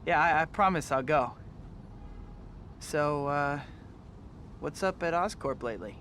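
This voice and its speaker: A man's voice: on the high side, a little slow, fairly bright tone, slight background noise. An actor playing an earnest young male student who is apologetic and polite. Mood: friendly. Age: young adult. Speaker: student and pizza delivery worker.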